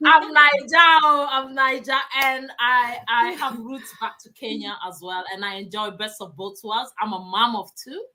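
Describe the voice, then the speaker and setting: A playful, animated woman introducing herself in a live-stream group chat. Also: a little high, unhurried, poor audio. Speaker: panel guest.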